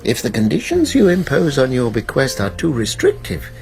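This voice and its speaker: An actor playing a tactful, persuasive college Master in a British radio drama, measured and donnish in manner. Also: male voice, mid-range voice, huge pitch swings, vocal fry, darkish tone, soft background music. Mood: tactfully persuasive.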